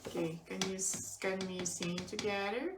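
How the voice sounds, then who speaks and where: A woman voicing a friendly, playful potato puppet in a children's puppet show, asking gentle, encouraging questions. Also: medium pitch, normal speed, close to an everyday voice. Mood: cheerful. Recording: roomy, distant microphone, clearly noisy home recording.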